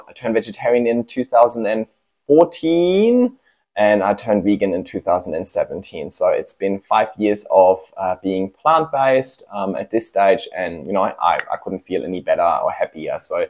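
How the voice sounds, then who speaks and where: German-accented man, low voice, chatty. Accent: German with Australian influence. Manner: relaxed, with many fillers and restarts. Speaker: plant care creator. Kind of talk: casual talk to camera. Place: home apartment.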